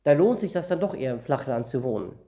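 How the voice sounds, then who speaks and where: A man's voice: medium pitch, sing-song, quick pace, mellow. A man from northern Germany preaching a Bible-teaching sermon, reading verses aloud and explaining them word by word in a conversational way.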